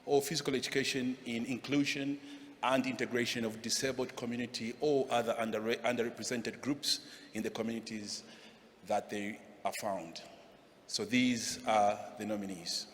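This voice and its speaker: A man's voice: medium pitch, steady tone, moderate pace. A man giving a formal, scripted speech to present an award. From Zambia. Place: large event hall, on stage. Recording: echoey hall, noticeable background noise.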